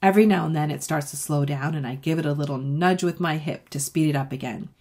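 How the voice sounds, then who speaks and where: A woman's voice: sits low, quick pace, a little mellow. A woman author reading a children's story aloud expressively and speaking directly to young listeners. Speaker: author reading their own book.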